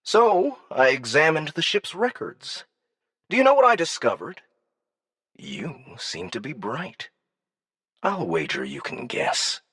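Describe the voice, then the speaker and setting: A man voicing a cunning alien dignitary in an audiobook, probing with languid, deliberate menace. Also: fairly high pitch, very animated, a bit bright, breathy edge, no room sound, studio quality, voice pushed up, exaggerated voice. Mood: coolly curious.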